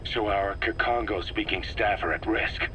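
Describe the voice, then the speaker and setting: Casting: male actor as a probing, practical game soldier, slightly deep, heard through heavy background noise. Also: moderate pace, lightly acted. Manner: direct, probing. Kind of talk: dramatic video game dialogue.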